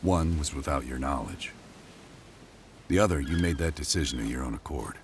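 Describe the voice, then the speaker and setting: A man playing a ruthless middle-aged American intelligence officer in a game, speaking deliberately, with intimacy and command. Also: extremely low pitch, animated, a little hiss or hum. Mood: grave, persuasive. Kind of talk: dramatic game cutscene acting. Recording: music behind the voice.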